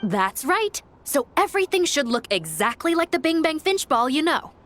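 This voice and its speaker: A woman's voice: high voice, big pitch swings, normal speed, a bit bright. A woman playing a friendly, eager young booth helper in a video game, polite and upbeat. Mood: polite, welcoming. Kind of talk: animated video game voice acting. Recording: faint music behind the voice.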